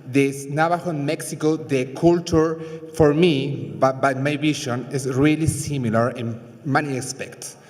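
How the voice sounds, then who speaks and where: A Chilean man, a fashion designer with Spanish as his first language, speaking slowly in halting English at a public event. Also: medium pitch, fairly even intonation, a little mellow. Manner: halting, searching for English words. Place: event hall. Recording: reverberant hall.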